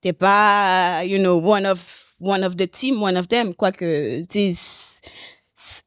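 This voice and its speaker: A woman's voice: medium pitch, slow pace, smooth, dry recording, studio quality. A woman from Haiti and Canada, talking candidly as a podcast guest and slipping in English phrases. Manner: expressive, slips English phrases into French. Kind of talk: candid conversational interview.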